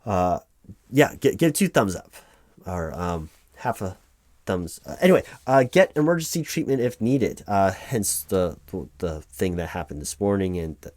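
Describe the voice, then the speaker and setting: A man's voice: sits low, big pitch swings, moderate pace, a little mellow, dry recording. A man playing a reassuring, rambling office helper who talks through paperwork in a spoken-aloud ASMR roleplay. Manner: rambling, hesitant asides with stammered repeats, reassuring. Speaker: roleplay performer playing a workers' compensation claims helper. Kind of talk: loud-spoken ASMR roleplay, reading forms aloud with ad-libbed asides.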